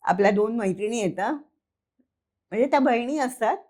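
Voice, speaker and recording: High-voiced woman telling a children's story. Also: a little fast, darkish tone. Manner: conversational, addresses listeners, repeats words for effect. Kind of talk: animated informal children's storytelling.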